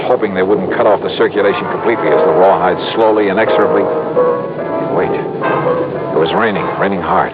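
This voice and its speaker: An actor playing a wry, tough, unflappable insurance investigator in an old-time radio detective drama, clipped and hard-boiled. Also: male voice, low-pitched, narrow, tinny audio, close to an everyday voice. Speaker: first-person narrator and hero. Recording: thin old radio recording.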